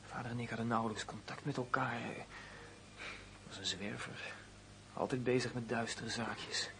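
An actor in a radio drama playing a prisoner's grown son, hesitant and embittered, halting and repeating his words with awkward politeness. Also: medium pitch, steady tone, creaky, a bit bright, slight background noise. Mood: bitter.

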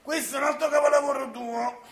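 High-pitched, exasperated Neapolitan son. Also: male voice, fairly even intonation, fast talker, a bit bright, slight background noise. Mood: mocking, exasperated. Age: 30s. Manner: theatrical, badgering, repeats phrases insistently. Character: exasperated, sarcastic thirty-three-year-old son living with his mother. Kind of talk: stage-style comic radio drama acting. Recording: echoey room.

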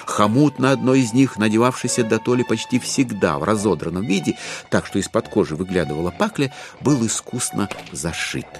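Male narrator, lowish voice, animated intonation, measured storytelling, literary audiobook, soft music behind.

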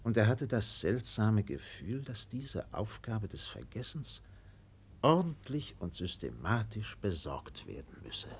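A man narrating a literary radio play in a solemn, measured way with dramatic pauses. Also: lowish voice, very animated, vocal fry, a little hiss or hum.

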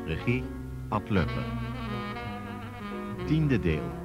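A man's voice: fairly low pitch, very animated, drawn-out words. A man announcing a radio drama series in a scripted style. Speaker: announcer reading series credits. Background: loud music with saxophone and brass.

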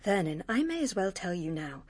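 A woman's voice: lowish voice, big pitch swings, normal speed, darkish tone, husky. An actress playing a discontented, jealous young woman in a radio drama, with a British accent and a petulant manner. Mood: distressed. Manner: petulant, emotional.

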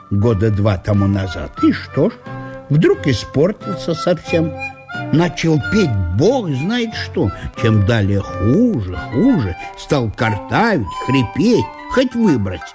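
A man's voice: mid-range voice, wildly swooping pitch, slow, music in the background. A man playing a chatty, self-absorbed provincial judge in a radio play, rambling and savoring his words. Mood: rambling, self-satisfied. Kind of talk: theatrical radio monologue.

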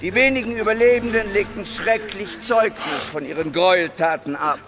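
An actor playing an officious Crown judge in a historical radio play, dry, formal and impatient. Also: male voice, high-pitched, moderate pace, natural voice. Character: bored, officious male judge of the Crown passing sentence. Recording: music behind the voice.

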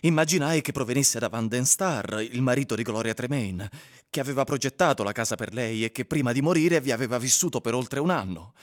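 A man's voice: medium pitch, fairly quick, natural voice. Brooding, infatuated husband played by a man. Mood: brooding, wistful. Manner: introspective, literary. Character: infatuated, brooding husband recalling his obsession. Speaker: actor in radio drama. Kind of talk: radio drama monologue and dialogue.

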